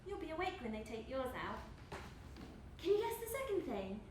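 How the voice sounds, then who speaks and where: A woman acting a menacing, cruel woman in a live stage play, speaking in a deliberate, taunting way. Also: high-pitched, very animated. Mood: cold menace. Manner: deliberate, taunting, repetitive phrasing. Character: menacing, cruel woman calmly threatening her victim. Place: indoor stage of a live theatre performance. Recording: echoey theatre, distant mic, noisy.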